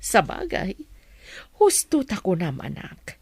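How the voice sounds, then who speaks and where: Nosy doubtful mom, middle-aged, ilocano accent, swoopy pitch, radio drama. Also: female voice, wildly swooping pitch, takes it slowly, gravelly, darkish tone, slightly rough, dry recording, heavily acted. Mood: inquisitive, sceptical. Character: inquisitive, sceptical middle-aged mother, fond of her child.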